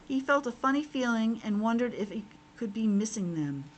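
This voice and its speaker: A woman reads a picture book to young children in the manner of a teacher, with simple asides to them. Her voice is fairly high and very animated, with faint noise behind it. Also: moderate pace, highs cut off. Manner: expressive, simple child-directed talk.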